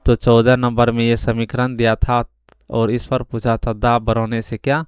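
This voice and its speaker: A man's voice: mid-range voice, completely flat delivery, moderate pace, smooth, no room sound, studio quality. A man teaching exam answers question by question, repeatedly asking and then answering himself. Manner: repetitive, asks questions then answers them. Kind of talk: question-by-question answer key explanation.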